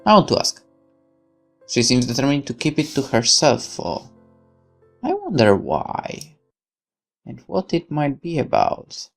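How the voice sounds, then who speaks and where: Creaky-voiced gamer reading a story aloud. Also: medium pitch, very animated, unhurried, pauses often, soft background music. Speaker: let's player reading a visual novel aloud. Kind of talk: expressive read-aloud narration with casual asides.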